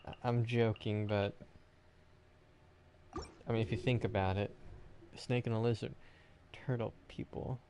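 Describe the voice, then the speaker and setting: A man's voice: fairly low pitch, flat delivery, unhurried, long pauses, warm tone, a little hiss or hum. A man doing casual gaming stream commentary, rambling and thinking aloud. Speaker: game streamer.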